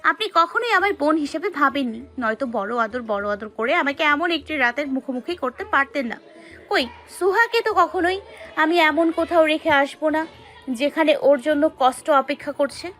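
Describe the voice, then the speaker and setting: A woman's voice: sits high, animated, fairly quick, a bit bright, soft background music. A woman playing a hurt, stubborn, very young wife who answers curtly and indignantly in an audio story drama. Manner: curt, indignant, defensive.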